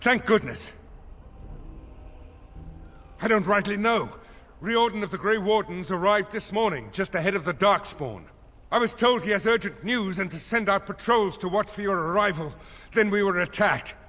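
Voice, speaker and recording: A man's voice: sits high, animated, moderate pace, pushed and bright, long reverb tail. A man playing an anxious young soldier in a fantasy game, urgent and deferential as he reports to his lord. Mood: anxious, relieved.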